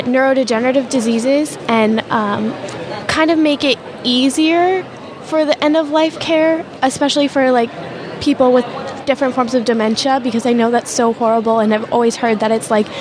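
A young premed woman speaking earnestly and heartfelt in a vox pop interview. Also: on the high side. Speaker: premed student. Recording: outdoor event with crowd chatter. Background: crowd chatter.

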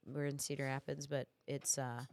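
A middle-aged woman guest on a podcast, a comedian with wry, joking asides, her voice raspy and stuffy from smoky air, recorded in a podcast studio. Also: a bass voice, flat delivery, moderate pace, darkish tone, dry recording. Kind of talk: casual podcast chat.

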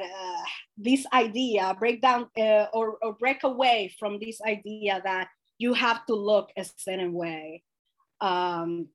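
A woman gives an earnest presentation over a video call. Her voice sits a little high, and her delivery is animated and sincere, with fillers and soft laughs. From Dominican Republic. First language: Spanish. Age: late 20s. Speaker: guest speaker.

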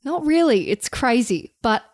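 A woman, hoarse and raspy from a sore throat, co-hosting a casual career podcast. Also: fairly high pitch, sing-song, unhurried, no echo, studio quality. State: sick, croaky sore throat. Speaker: podcast co-host, radio journalist.

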